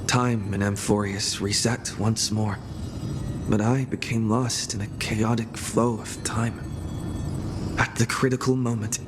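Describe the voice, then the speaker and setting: A man playing an earnest young hero, solemnly narrating his world's fate in a measured, scripted way. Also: on the low side, flat delivery, lightly acted. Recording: music behind the voice. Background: background music.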